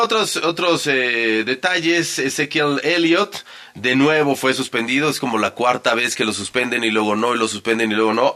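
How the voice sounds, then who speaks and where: A man with a northern Mexican accent hosting a sports radio talk show, commenting conversationally with halting phrasing and repeated words. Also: mid-range voice, sharp tone. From Monterrey, Mexico.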